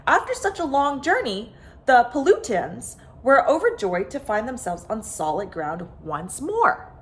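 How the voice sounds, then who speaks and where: Female storyteller for kids' picture book, higher-pitched and swooping, with dramatic, expressive delivery. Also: measured pace, a little hiss or hum. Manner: expressive, dramatic emphasis with stretched words. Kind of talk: animated children's story reading.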